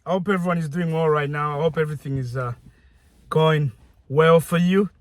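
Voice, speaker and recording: Zambian-born guy vlogging, high-ish voice, chatty and rambly, dry sound. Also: steady tone, fairly quick, dry recording. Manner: chatty, conversational, repeats words and restarts. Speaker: YouTuber. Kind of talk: casual direct-to-camera talk.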